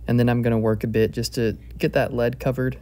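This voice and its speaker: A man calmly narrating a step-by-step fly-tying tutorial at his bench. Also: mid-range voice, fairly quick. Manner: calm, steady, explanatory.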